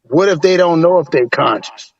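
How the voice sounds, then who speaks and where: A man with a raspy voice calling into a casual chat, preaching and repeating his points for emphasis. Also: on the high side, animated, normal speed. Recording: dull lo-fi call audio.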